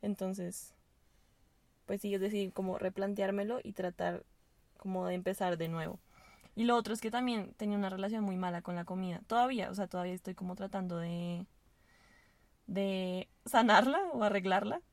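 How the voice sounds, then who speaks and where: A woman's voice: mid-range voice, steady tone, moderate pace, no room sound. A young woman from Venezuela, a podcast guest, chatting casually in a rambling, hesitant way with lots of fillers, recorded inside a car. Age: young adult.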